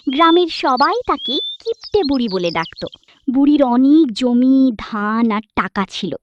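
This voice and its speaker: A woman narrator reading a scripted children's folk tale. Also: high voice. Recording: dull audio with clear background noise.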